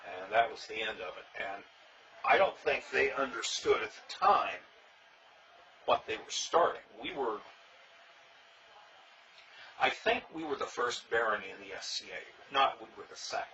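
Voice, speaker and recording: Wry older man with a bright tone. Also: medium pitch, lively intonation, moderate pace, pauses often, recorded from a distance. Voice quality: loud voice. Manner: wry, dry humor, plainspoken. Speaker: interviewee, former king of a historical reenactment society. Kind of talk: casual storytelling interview. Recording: dull sound, faint noise, from off mic.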